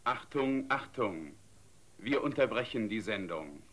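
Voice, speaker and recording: An actor playing a stern 1940s radio announcer, reading an emergency bulletin in a formal, emphatic manner. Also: male voice, lowish voice, animated, measured pace, fairly bright tone. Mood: urgent, grave. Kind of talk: dramatised emergency news bulletin.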